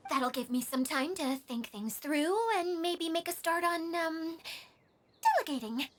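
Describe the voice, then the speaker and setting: Timid, very high-pitched game caretaker. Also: female voice, measured pace. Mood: sheepish. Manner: sheepish, dramatic. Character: timid, self-deprecating, lazy female caretaker of a magical domain. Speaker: game character actor. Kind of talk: anime game voice acting. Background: game music.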